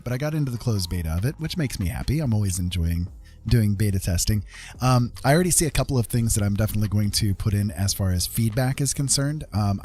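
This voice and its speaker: A man's voice: fairly low pitch, dark tone. A man giving casual live gameplay commentary as a streamer, chatty and thinking aloud. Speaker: game streamer, host.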